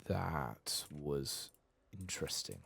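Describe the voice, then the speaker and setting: A man with an extremely low, crackly voice gives soft-spoken commentary on a game. He mutters playfully, swears casually and pokes fun at himself as he goes. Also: expressive, slow pace, creaky, slightly rough. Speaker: host of a gaming playthrough channel.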